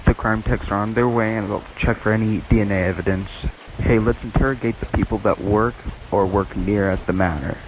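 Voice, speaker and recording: Guy playing a tired no-nonsense cop, lowish voice, hissy clicky recording, radio play. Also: fairly even intonation, brisk, close to an everyday voice. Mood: businesslike. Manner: plain, matter-of-fact delivery. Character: blunt, weary police detective man questioning suspects. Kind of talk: amateur radio play acting. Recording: noisy home microphone with clicks.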